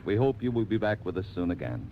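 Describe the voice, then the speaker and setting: A man's voice: lowish voice, sing-song, fast. A man hosting a scripted 1940s radio broadcast as announcer. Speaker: radio host. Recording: muffled old radio with clear noise.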